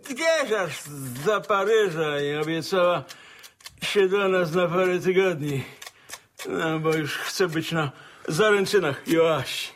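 An actor playing a folksy, rambling older country squire in a radio drama, stammering through verbal tics with a gravelly, husky voice. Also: male voice, high voice, takes it slowly, fairly bright tone, a little hiss or hum. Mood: chatty, proud. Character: chatty, folksy older country squire, proud father and husband.

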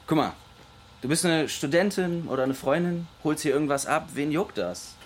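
An actor playing an easygoing young lab researcher who deals on the side, talking casually in a naturalistic radio drama. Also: male voice, on the high side, normal speed, a little hiss or hum, close to an everyday voice. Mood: reassuring. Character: easygoing young male lab researcher dealing homemade speed. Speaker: research assistant.

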